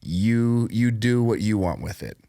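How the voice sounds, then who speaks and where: A man with a low, deep voice tells his story at an easy pace and laughs often. The sound is dry and close, with no room behind it. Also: measured pace, mellow, no room sound. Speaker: podcast guest. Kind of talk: casual chat.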